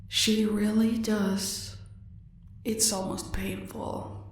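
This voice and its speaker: A woman plays a loyal crime henchwoman in an audio drama. She speaks slowly and tersely, understated and deferential, her voice swimming in heavy room echo. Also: medium pitch, little pitch movement, far from the mic. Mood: quietly scornful. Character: laconic female henchwoman torturer, loyal to her boss. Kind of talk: audio roleplay acting. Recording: very echoey room, away from the mic.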